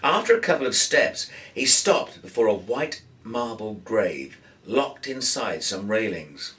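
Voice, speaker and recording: Male actor for expressive novel narration, recorded a little way from the mic. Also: lowish voice, unhurried, far from the mic. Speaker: actor reading a novel aloud.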